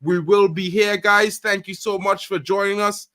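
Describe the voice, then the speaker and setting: A man from Trinidad hosts a casual live football stream, talking loudly and excitedly with repeated catchphrases. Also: high-pitched, normal speed, dry recording, clean studio sound. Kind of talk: casual live sports chat.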